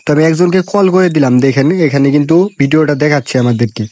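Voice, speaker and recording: A man's voice: on the high side, fast, warm tone. A man with a Bangladeshi accent narrating an informal phone app tutorial, instructing step by step in a repetitive way. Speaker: tutorial host.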